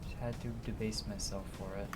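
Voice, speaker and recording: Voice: medium pitch, flat delivery, a little fast, very noisy. A game streamer reading dialogue aloud and commenting casually, playful and slangy, with hammy readings. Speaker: streamer, host of a gaming show. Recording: game music behind the voice. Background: video game music.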